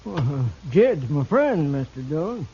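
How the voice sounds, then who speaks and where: An actor playing a meek, humble saloon drunk who talks haltingly in an old Western radio drama. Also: male voice, a little high, big pitch swings, dark tone, a little hiss or hum. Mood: humble, wistful. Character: meek, shaky saloon drunk, loyal cowboy sidekick. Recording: muffled old radio recording.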